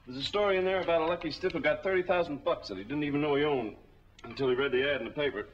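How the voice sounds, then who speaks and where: A man's voice: on the high side, very fast, slight background noise, close to an everyday voice. An actor playing a chatty, deferential hotel bellman in a 1940s film, polite and talkative. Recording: muffled 1940s film soundtrack.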